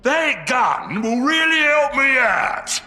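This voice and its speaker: A man's voice: extremely high pitch, very animated, slow pace, sharp tone, long reverb tail, full character voice. A man voicing a boastful, dim-witted ork warboss with a bellowing, crude delivery for a video game cinematic. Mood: boastful, confiding.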